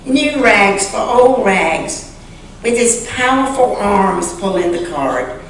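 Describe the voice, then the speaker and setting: A woman reading a story aloud dramatically and earnestly, with deliberate pauses, in a church sanctuary. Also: medium pitch. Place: large indoor church sanctuary. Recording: echoey church sanctuary, distant mic, faint noise.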